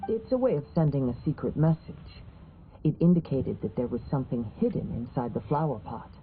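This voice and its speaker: A man playing a calm, thoughtful young wandering samurai in an anime game, speaking in a measured, formal, soft-spoken way. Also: mid-range voice, a little hiss or hum, close to an everyday voice. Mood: reflective. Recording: phone-like narrow band, music behind.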